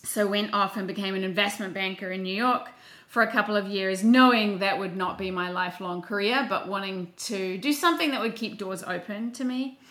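A woman from New Zealand with a clear New Zealand accent talks through her background in a chatty, self-deprecating way, full of small fillers. Her voice is bright and forceful, and it sounds roomy, as if recorded in an echoey space. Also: medium pitch, a little fast. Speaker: guest. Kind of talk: casual interview talk.

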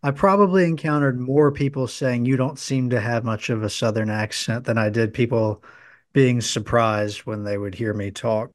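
A man co-hosting a podcast who used to work in radio, speaking in a measured, plain way. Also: mid-range voice, fairly even intonation, dry recording, studio quality. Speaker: podcast co-host, former radio host. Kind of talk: casual sports podcast chat.

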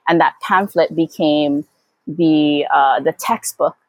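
A woman from Hawaii speaking reflectively as an interview guest, thoughtful and halting as she searches for words. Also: low-pitched, steady tone, no room sound. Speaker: guest, Hawaiian educator and activist.

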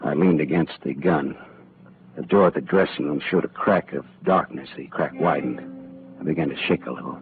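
An actor playing a wisecracking, world-weary private detective in 1940s radio style, clipped and sardonic. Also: male voice, extremely low pitch, normal speed. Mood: tense narration. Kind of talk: hard-boiled first-person narration and dialogue, 1940s radio acting. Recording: muffled old radio broadcast, faint music.

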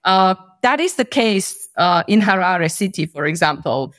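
A woman's voice: lowish voice, expressive, unhurried. Thoughtful woman from Bosnia in conversation. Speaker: podcast guest. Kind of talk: conversational interview answers.

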